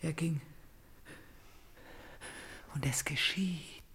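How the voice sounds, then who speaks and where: An actress playing a tormented, vengeful princess in a German radio drama, theatrical and moving between breathy whispers and fierce outcry. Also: female voice, low voice, extremely slow, a bit bright, slight background noise, exaggerated voice. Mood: desperate, agitated. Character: tormented, vengeful princess, fevered and obsessive, grieving her father.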